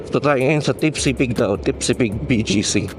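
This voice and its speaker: A Filipino man chats casually as he rides, in a mid-pitched voice. He is joking and slangy and slips between his own language and English. Music plays clearly behind him, along with vehicle and street sounds. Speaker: motovlogger. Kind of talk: casual chat while riding a motorcycle. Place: outdoors on a motorcycle in city traffic.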